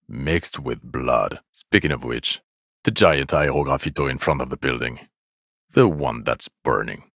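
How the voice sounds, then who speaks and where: A male actor playing a bitter, exasperated, foul-mouthed police detective in a video game, scolding with weary sarcasm and contempt in a gravelly voice. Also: rumbling low, fairly bright tone, dry recording, clean studio sound, exaggerated voice. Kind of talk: video game character dialogue.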